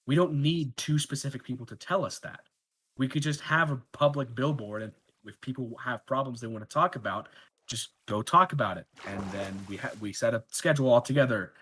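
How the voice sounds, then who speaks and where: A man's voice: mid-range voice, a bit bright. A man speaking as a candidate in a casual roleplay debate, making his points in long, rambling sentences full of filler words. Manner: rambling, filler-heavy argument.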